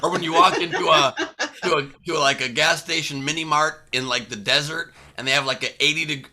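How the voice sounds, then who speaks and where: A man's voice: fairly high pitch, steady tone, brisk, bright, forceful tone. A man hosting a comedy show, bantering with guests in a casual joking way and laughing often. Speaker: comedy podcast host.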